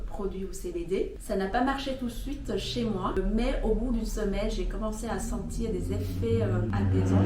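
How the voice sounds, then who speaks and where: Mellow Vietnamese-accented woman in a roomy space. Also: fairly low pitch. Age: 30s. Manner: hesitant, searching for words, frequent pauses. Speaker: brand founder being interviewed. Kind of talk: informal explanatory interview testimonial. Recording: roomy echo, distant mic, faint noise.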